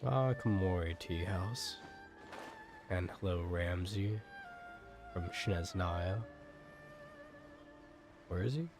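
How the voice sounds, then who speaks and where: A man's voice: low-pitched, slow pace, long pauses, music in the background. A man giving casual, slangy live-stream commentary, laid-back and sweary, often repeating himself. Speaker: game streamer.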